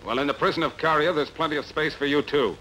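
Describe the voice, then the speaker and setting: A man's voice: fairly high pitch, fast, fairly bright tone, lightly acted. Menacing guard officer, acted by a man, noisy track. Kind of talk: dubbed costume-adventure film acting. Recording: noisy old film soundtrack.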